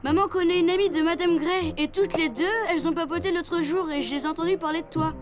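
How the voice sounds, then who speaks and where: A woman voicing an enthusiastic young schoolchild in a children's cartoon, excitable and childlike. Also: very high voice, fast. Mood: protesting. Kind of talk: French children's cartoon dialogue. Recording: music underneath.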